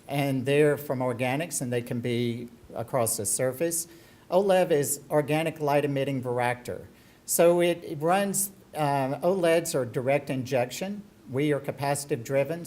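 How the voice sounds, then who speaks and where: Middle-aged man, Southern US accent, presenting his startup from the podium, mid-pitched, loose and chatty with ums. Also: darkish tone. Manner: conversational, frequent ums and restarts. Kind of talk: business presentation. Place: conference stage at a podium.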